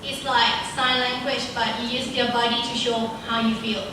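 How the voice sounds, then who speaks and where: A woman's voice: on the high side, flat delivery, moderate pace. A teenage girl with a Thai accent, her first language Thai, giving a careful, formal, recited speech at a student speaking contest. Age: teens. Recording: echoey hall from far off, noisy.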